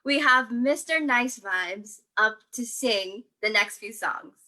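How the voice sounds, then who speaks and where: A woman's voice: fairly high pitch, slow, pushed and bright, rough recording. A woman hosting a livestream concert, introducing acts in a chatty, enthusiastic way with loose phrasing. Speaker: concert host.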